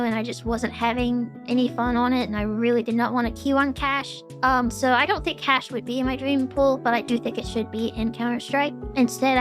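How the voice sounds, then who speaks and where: Female VTuber, somewhat high, gravelly and strained, loose rambling delivery over faint music. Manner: rambling with many fillers and restarts. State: throat getting sore. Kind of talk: casual Q&A vlog.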